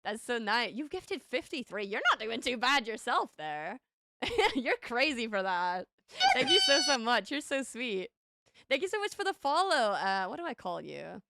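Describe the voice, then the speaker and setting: A woman's voice: a little high, sing-song, normal speed, vocal fry, sharp tone. Bubbly, giggly British woman streaming. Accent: light British, partly American-sounding. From United Kingdom. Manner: bubbly, giggly, playfully sassy. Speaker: streamer, VTuber artist. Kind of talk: casual live-stream chat reading viewer messages.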